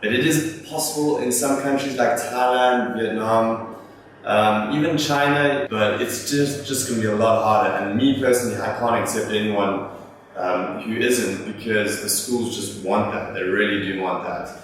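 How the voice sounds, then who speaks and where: A man's voice: fairly low pitch, steady tone, normal speed. A young man hosting a vlog, casually answering viewer questions and rambling a little, with fillers and restarts. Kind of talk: casual talk to camera. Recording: echoey room from far off, faint noise.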